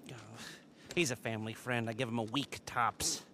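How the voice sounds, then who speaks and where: An actor playing a grumpy, sarcastic middle-aged hacker who has retired from heists. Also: male voice, medium pitch, bright, forceful tone, a little hiss or hum, lightly acted. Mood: amused. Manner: whiny, sarcastic, quick to complain.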